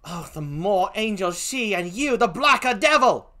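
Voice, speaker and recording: A performer voicing an outraged, loyal and grieving gentlewoman with a put-on British accent, in theatrical Shakespearean acting. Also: medium pitch, big pitch swings, unhurried, voice pushed up. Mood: fiery, defiant. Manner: declamatory, impassioned, theatrical. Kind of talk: classical Shakespearean stage acting.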